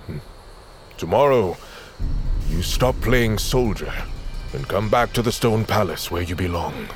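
A man's voice: deep voice, animated, unhurried, soft background music. An actor playing a stern, older royal father in a fantasy audio drama, formal and commanding. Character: stern, authoritative royal father of grown sons.

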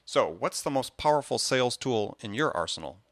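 A man hosting an interview show, briskly affirming his guest and moving to the next questions. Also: mid-range voice, big pitch swings, a bit bright. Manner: brisk, affirming, moves the talk along. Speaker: podcast host.

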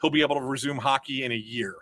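Bright-voiced man explaining hockey news. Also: medium pitch. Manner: measured, cautious explaining with fillers. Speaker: hockey reporter. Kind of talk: casual sports talk.

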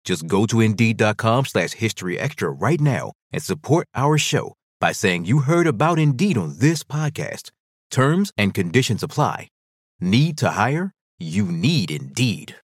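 Lively, low-voiced male ad announcer. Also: a little slow, slightly rough, no room sound, studio quality. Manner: upbeat sales pitch. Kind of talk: scripted advert read.

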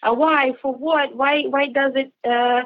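Interviewee: young Ukrainian-accented woman, high pitch, careful hesitant delivery, somewhat lo-fi line. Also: steady tone, no room sound. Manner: halting, careful, searching for words. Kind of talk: earnest interview answers in second-language English. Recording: slightly dull, lo-fi remote line.

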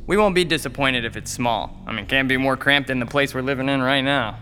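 Man playing an eager, nervous young guy, bright voice. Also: medium pitch, brisk, soft background music, lightly acted. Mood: eager to please. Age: young adult. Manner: babbling, ingratiating, repeats himself. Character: eager, nervous young male comedian, half of a couple. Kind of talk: modern audio drama acting.

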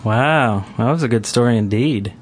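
A man's voice: mid-range voice, moderate pace. A man hosting a podcast in a casual, conversational style. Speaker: podcast host. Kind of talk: casual gaming podcast chat. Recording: music clearly playing behind the voice. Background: music.

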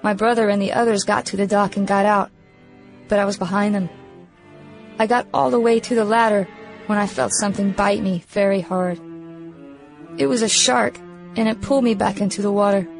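A woman's voice: mid-range voice, fairly even intonation, normal speed, gravelly, pauses often, soft background music, natural voice, rough recording. Woman acting a plucky young boy reading his diary. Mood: earnest, matter-of-fact. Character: plucky young boy recovering from shark attack, earnest, innocent. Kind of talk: radio play diary monologue.

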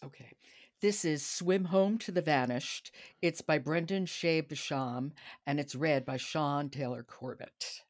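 A woman's voice: deep voice, a little slow. A woman hosting a podcast, interviewing her guest in a conversational way. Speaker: podcast host.

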